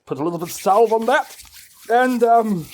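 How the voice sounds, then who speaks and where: A man's voice: very high-pitched, animated, normal speed, noisy background, voice pushed up. A man voicing a pompous, zealous self-appointed holy guardian with formal, old-fashioned wording in a tabletop roleplaying game. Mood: self-punishing zeal.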